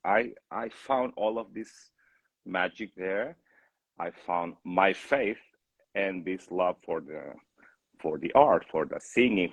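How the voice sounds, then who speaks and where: This is a Chilean man with a very low, husky voice and a Chilean Spanish accent. He talks casually as an interview guest about his work as an opera singer. The sound is close and dry, with hardly any room in it. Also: no echo, poor audio.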